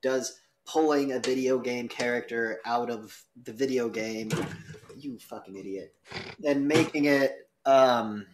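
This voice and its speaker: A man casually moderating a podcast debate, putting questions in a halting, sweary way. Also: medium pitch, noisy background.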